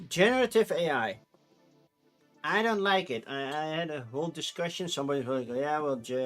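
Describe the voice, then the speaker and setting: Chatty man with a Dutch accent. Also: a little high. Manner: rambling, chatty, with frequent restarts and laughs. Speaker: streamer. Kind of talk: casual live-stream chat.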